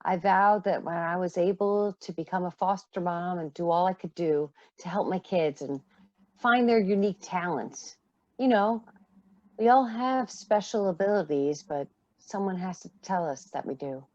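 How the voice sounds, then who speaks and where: A woman's voice: lowish voice, normal speed, a little mellow, no echo, highs cut off, natural voice. A woman playing a devoted foster mother, a retired singer, in a radio play. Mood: warm, reflective.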